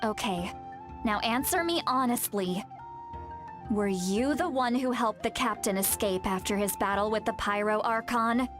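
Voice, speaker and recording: A woman's voice: fairly high pitch, measured pace, close to an everyday voice. A woman voicing a youthful-looking granny in an anime game, stern, sarcastic and exasperated but secretly caring. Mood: stern concern. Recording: music behind the voice.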